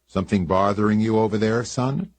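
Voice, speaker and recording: A man's voice: fairly low pitch, a little fast, darkish tone, no echo, pitched above the performer's own voice, lightly acted. A man voicing a small-town male sheriff who asks mild, drawling questions. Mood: mild, probing.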